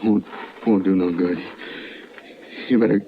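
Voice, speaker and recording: Slow, gasping wounded cowboy. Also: male voice, deep voice, steady tone. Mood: weak, pained. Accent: American. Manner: halting, broken phrases. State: gravely hurt, gasping, struggling to speak. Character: badly wounded cowboy, weak and fading. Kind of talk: old-time radio western acting. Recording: muffled, noisy old radio recording.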